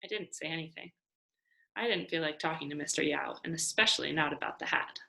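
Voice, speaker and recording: A woman reading a children's novel aloud as an expressive storyteller. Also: sits low, fairly quick, vocal fry. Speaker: storybook reader.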